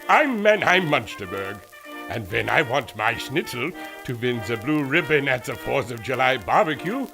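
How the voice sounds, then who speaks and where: A jolly German scientist with a broad German accent, laying it on thick. His voice swings widely with theatrical enthusiasm, like a radio-serial character, mid-range in pitch. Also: rough recording. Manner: hammy, exaggerated accent. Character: cheerful German male scientist, crew member. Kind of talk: old-time radio drama acting.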